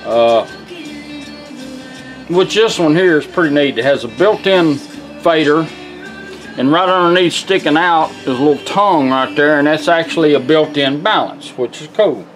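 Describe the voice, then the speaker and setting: A folksy man walks through a product demonstration in an informal, plain-spoken way. His voice sits a little higher than most men's. There is faint music in the recording. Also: normal speed, rough recording. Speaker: presenter, car radio restorer. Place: indoor workshop bench.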